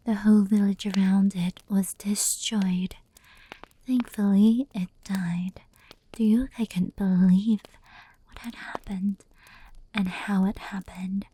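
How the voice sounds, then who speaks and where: A woman voicing an affectionate female fox spirit in a whispered anime ASMR roleplay, telling bedtime stories softly and intimately. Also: mid-range voice, low-quality recording. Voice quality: soft, breathy whisper. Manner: slow, intimate, hushed storytelling.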